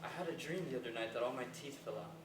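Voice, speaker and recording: A man's voice: medium pitch, very fast, close to an everyday voice. Actor as an earnest, hesitant young man, echoey stage. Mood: troubled, recounting. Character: earnest, hesitant young male dropout, quietly reflective. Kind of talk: staged play reading, naturalistic dialogue. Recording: echoey, distant, noisy live stage recording.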